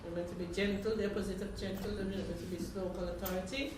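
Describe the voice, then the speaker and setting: A woman's voice: mid-range voice, fairly even intonation, quick pace. Distant woman recounting in an echoey room. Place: indoors at a community centre, distant mic. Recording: echoey room from far off, noisy.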